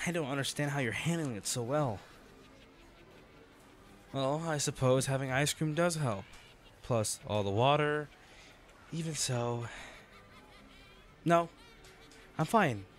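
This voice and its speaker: Man acting as a devoted rich lover, slow and earnest. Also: mid-range voice, lively intonation, lightly acted. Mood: lighter, affectionate. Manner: tender, halting, emotionally earnest. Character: wealthy devoted male lover, earnest and protective. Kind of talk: romantic audio roleplay addressed to the listener.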